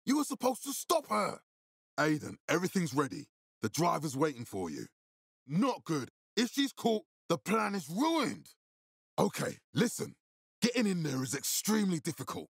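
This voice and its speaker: Husky, commanding militia leader. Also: male voice, a little high, wildly swooping pitch, moderate pace, no echo, clean studio sound, full character voice. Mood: urgent, agitated. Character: commanding militia leader, grave adult man, calculating and profane. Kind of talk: video game character dialogue.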